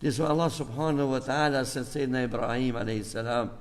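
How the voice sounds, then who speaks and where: An older man from Albania with an Albanian accent, speaking as an imam in a rambling, storytelling way to a community gathering, drifting into asides and gentle exhortation. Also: medium pitch, fairly even intonation, fast talker. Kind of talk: informal talk to a community gathering. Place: mosque community hall. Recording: echoey hall reverb.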